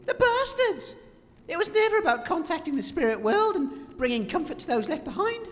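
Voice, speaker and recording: Hapless, pompous radio-comedy presenter, acted by a woman: high, wildly swooping delivery, reverberant hall. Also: sing-song, moderate pace. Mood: outraged. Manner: pompous, theatrical, pleading. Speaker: radio show host character. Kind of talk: audio comedy drama acting. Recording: long echoing hall, faint background noise.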